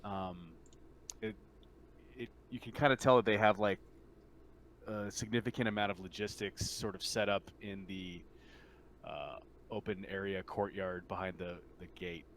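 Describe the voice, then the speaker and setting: A man running a tabletop game as game master, narrating casually in halting, hesitant phrases over online play. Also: low voice, steady tone, a bit bright, slight background noise.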